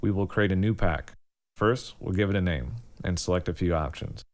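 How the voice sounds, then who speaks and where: A man presenting a step-by-step software tutorial in an informal, instructional way. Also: low voice, normal speed.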